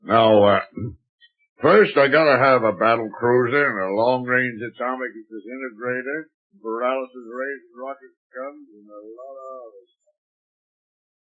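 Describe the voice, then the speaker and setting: A man playing a gruff, slangy space adventurer with an American accent in a 1930s radio serial, talking in halting, ungrammatical sentences. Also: mid-range voice, lightly acted. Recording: dull 1930s radio recording.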